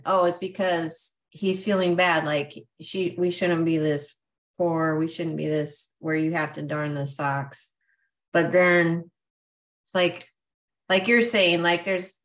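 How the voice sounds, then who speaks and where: Slow, low-voiced woman, hesitant. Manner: hesitant, lots of fillers and restarts. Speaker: discussion participant. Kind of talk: casual discussion. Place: home room with brick wall. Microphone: video call.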